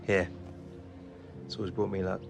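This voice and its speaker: A man's voice: low-pitched, level tone, normal speed, vocal fry, music in the background. A man with an English accent playing a sincere, encouraging young footballer in naturalistic game dialogue. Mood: tender, reassuring. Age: young adult. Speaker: actor in a football game's story mode.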